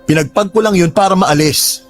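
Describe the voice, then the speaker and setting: An actor playing an exasperated, pleading young Filipino boyfriend in a radio drama, protesting emotively. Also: male voice, high-pitched, normal speed, close to an everyday voice. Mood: defensive, exasperated. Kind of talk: Tagalog radio drama acting. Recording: music bed under dialogue.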